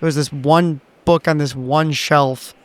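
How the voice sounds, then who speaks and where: A man's voice: on the high side, fairly even intonation, a little slow. A man playing a tabletop game, casually improvising and describing his character's actions, somewhat hesitant and repeating words.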